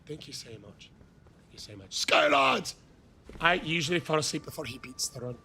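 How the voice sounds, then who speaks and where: An Irish man streaming a video game live, chatting with viewers and thanking them. He is hoarse from losing his voice and gets so excited that he breaks into shouts. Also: a little high, normal speed, slight background noise. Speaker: gaming livestreamer. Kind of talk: casual live-stream chat.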